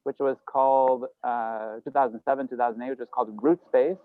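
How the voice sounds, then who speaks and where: A man's voice: medium pitch, steady tone, brisk, no room sound. A man from Lebanon introducing himself on a video call, rambling and correcting himself with many fillers. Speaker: entrepreneurship program manager. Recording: dry, phone-like call audio.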